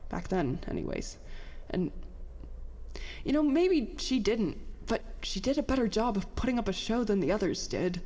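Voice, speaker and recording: An actress playing a bitter, self-doubting young petty officer in a halting, confessional monologue for a science fiction audio drama. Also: female voice, medium pitch, sing-song, warm tone, in a large hall. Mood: frustrated, wistful. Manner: halting, conversational, self-deprecating, mildly profane.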